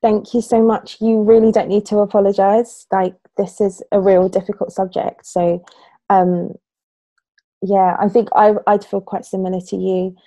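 A woman in her thirties, a youth worker hosting a panel discussion on a video call, gentle and full of ums. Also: mid-range voice, flat delivery, moderate pace, no room sound. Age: 30s. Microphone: laptop webcam on a video call.

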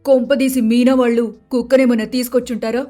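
A woman playing a stern, suspicious landlady who grumbles, in an animated family story. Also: on the high side, quick pace, soft background music, close to an everyday voice. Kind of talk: animated moral story voice acting.

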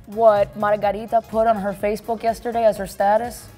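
A woman's voice: medium pitch, flat delivery, brisk, mellow, close to an everyday voice. A woman imitating a nagging, gossipy mother on the phone, emphatic and insistent, in a comedy sketch. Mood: exasperated, insistent. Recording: faint music behind the voice.

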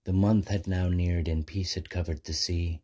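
A man narrating a rhyming children's bedtime story in measured, sing-song verse. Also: sits low, steady tone, warm tone.

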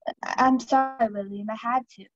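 A woman's voice: on the high side, steady tone, normal speed, no echo, lo-fi sound, lightly acted. A young woman playing a nervous, evasive suspect in an amateur radio play. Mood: apologetic. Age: young adult.

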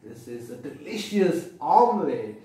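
A man's voice: a little high, unhurried. A man with an Indian accent reading a picture book aloud to children, expressively explaining and asking questions as he goes. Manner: expressive, repeats and explains for young listeners. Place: small room indoors, seated at a table. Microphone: camera mic from a few feet away. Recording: echoey room, distant microphone.

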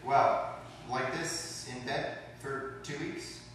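A man's voice: medium pitch, fairly even intonation, slow pace, a bit bright. A man playing a resigned, bedridden dying man in an amateur stage comedy, speaking matter-of-factly. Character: resigned, frank dying man confined to bed. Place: large rehearsal stage set, camera far off. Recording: echoey hall from far off, noisy.

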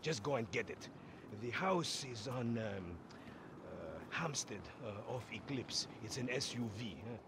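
A man's voice: mid-range voice, animated, a little slow. Against street and car noise, a slick middle-aged boss schemes away in a video game. He wheedles theatrically, like a salesman working his mark. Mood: conspiratorial. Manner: wheedling, theatrical, peppered with "huh?". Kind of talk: video game character acting.

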